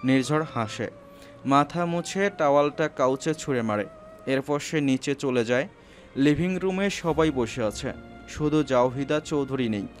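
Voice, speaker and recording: A man's voice: mid-range voice, normal speed. A man narrating a scripted romantic story, with music playing underneath.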